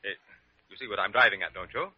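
An actor playing a pompous, wheedling head of a hospital in old radio drama, hedging unctuously. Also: male voice, on the low side, quick pace, slightly rough, no echo. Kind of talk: 1940s-50s radio drama acting. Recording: muffled old radio broadcast recording.

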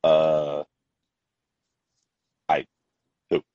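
A man's voice: very deep voice, monotone, extremely slow, warm tone, dry recording, dull audio. A man co-hosting a casual wrestling podcast, agreeable and hesitant, often trailing off and restarting. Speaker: podcast co-host.

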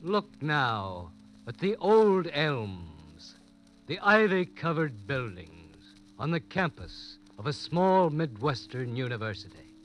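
A man hosting and narrating an old radio mystery show in a measured, formal manner. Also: on the high side, very animated, fairly bright tone, faint music behind. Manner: measured, formal storytelling delivery. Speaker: host and narrator. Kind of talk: 1940s radio drama narration. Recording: old 1940s radio broadcast.

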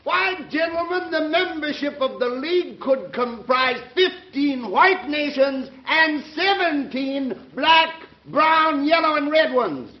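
Fearmongering old radio senator, declamatory, way high voice, echoey and dull. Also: male voice, animated, slow pace, few pauses, full character voice. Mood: indignant. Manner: oratorical, declamatory. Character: indignant, fearmongering senator haranguing colleagues.

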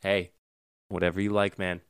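A man's voice: deep voice, moderate pace, clean tone, dry recording, studio quality. A man co-hosting a casual chat podcast, talking loosely and laughing often. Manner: laughs often, animated and chatty. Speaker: podcast co-host.

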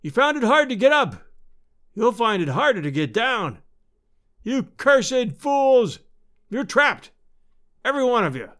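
Guy doing a crazed revenge villain in an audiobook, high voice, slow gloating taunts, swoops around. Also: sing-song, fairly bright tone, heavily acted. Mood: gloating, mocking. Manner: taunting, melodramatic, drawn-out delivery. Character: vengeful, gloating villain, a frenzied adult man.

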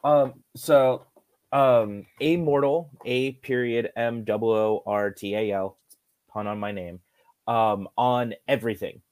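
A man's voice: medium pitch, takes it slowly, darkish tone. A man, a musician guest, giving short, easygoing replies in a casual chat.

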